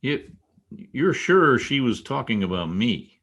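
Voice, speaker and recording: Man acting a vain columnist, lively swinging intonation, mid-range voice, blustery, easily flustered, old-time radio comedy. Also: mid-range voice, takes it slowly, gravelly, husky, full character voice. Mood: flattered, eager. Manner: animated, blustering, hesitant when flattered. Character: gruff, vain newspaper columnist, easily flattered. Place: home, online video-call production.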